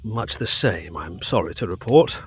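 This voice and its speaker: An actor playing a grave, concerned doctor in a gothic audio drama, speaking formally. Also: male voice, low-pitched, expressive. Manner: formal, measured. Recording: faint ambient noise behind voice.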